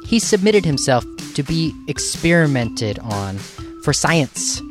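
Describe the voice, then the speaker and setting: A man's voice: fairly high pitch, very animated, slow pace, over music. A man hosting a podcast, introducing the show and putting questions to his guest. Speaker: podcast host.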